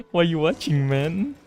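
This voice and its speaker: Slow, casual Filipino man streaming games. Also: high voice, darkish tone. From Philippines. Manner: mixes in Tagalog. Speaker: VTuber game streamer. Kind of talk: casual livestream game commentary, slangy.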